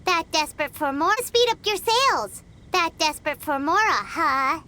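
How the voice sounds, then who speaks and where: Squeaky, sassy little fairy sidekick. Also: female voice, lively intonation, normal speed, bright, forceful tone, exaggerated voice. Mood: exasperated, snarky. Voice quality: squeaky childlike voice. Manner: refers to herself in third person, sassy. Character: small floating fairy companion, childlike, sassy, food-loving. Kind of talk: video game voice acting.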